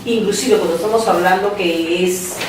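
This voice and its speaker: A woman's voice: on the low side, moderate pace, noisy background. A woman, a mother of a twelve-year-old, talking as a panel guest in a heartfelt, reflective way. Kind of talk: panel discussion. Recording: roomy studio heard from a distance.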